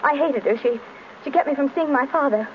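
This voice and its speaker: An actress playing a timid, distraught young daughter with halting delivery in a 1930s radio drama. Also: female voice, high-pitched, lightly acted. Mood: anxious, confessing. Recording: dull, noisy old radio recording.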